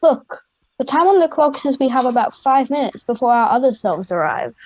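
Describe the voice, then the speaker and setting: A woman's voice: high voice, animated, normal speed, a little mellow, low-quality recording. An Australian girl of ten or eleven playing an offhand, grumbling schoolgirl in an amateur radio play. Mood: puzzled. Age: child, 10 to 11. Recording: home video-call recording.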